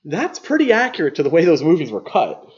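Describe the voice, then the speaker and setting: A man's voice: a little high, huge pitch swings, fast, a little mellow. A man co-hosting a podcast, talking casually and giving his opinions on films in a conversational way. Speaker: podcast co-host. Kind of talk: casual film discussion. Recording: slightly dull lo-fi podcast audio.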